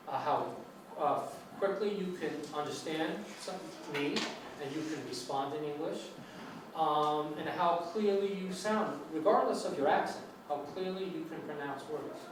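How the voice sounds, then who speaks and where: A man's voice: fairly high pitch, measured pace. A man with a New York accent giving an English lecture to students, reassuring and rambling with many restarts. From United States. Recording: echoey hall, distant mic, noisy.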